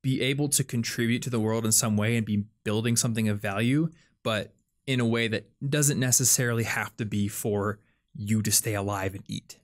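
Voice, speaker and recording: A man's voice: fairly low pitch, steady tone, moderate pace. A young man, hosting a casual podcast chat and explaining ideas with plenty of fillers. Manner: chatty, explains things with lots of "like" and "um". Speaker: podcast host.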